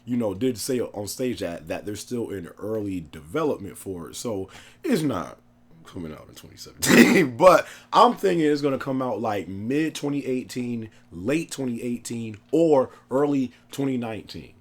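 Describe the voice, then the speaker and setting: A man with a cold, his voice hoarse, chatting excitedly about a video game on his channel, slangy and laughing often. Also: medium pitch, normal speed. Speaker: gaming YouTuber. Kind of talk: casual gaming chat.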